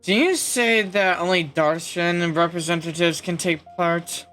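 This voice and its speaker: A woman's voice: lowish voice, a little slow, a bit bright, soft background music. A woman streaming a video game, reacting casually with informal, sweary interjections.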